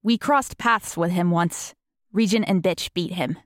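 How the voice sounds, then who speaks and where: A woman's voice: fairly low pitch, a little slow, no echo, clean studio sound, natural voice. A woman voicing a clever, self-assured teenage girl who explains things in a matter-of-fact, knowing way for an audiobook.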